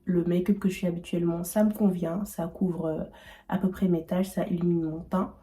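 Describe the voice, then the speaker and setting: A woman's voice: on the low side, little pitch movement, normal speed, dark tone, faint music behind. A young woman in her twenties with a cold, chatting casually while doing her makeup, rambling with many fillers and restarts. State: has a cold. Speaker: beauty vlogger.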